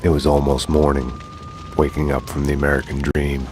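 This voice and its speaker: Very deep, brooding noir detective. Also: male voice, little pitch movement, over loud music. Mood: grim, reflective. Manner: grave, poetic, deliberate monologue. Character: brooding world-weary hardboiled male detective narrator. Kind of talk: scripted noir game narration.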